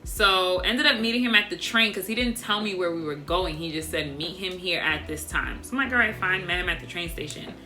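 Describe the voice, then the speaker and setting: A young woman vlogger telling a dating story to her viewers in a casual, chatty way, speaking softly without projecting. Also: on the low side, fairly quick, few pauses, faint music behind. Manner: soft, doesn't project, monotone by her own account; chatty and animated, addresses viewers as y'all.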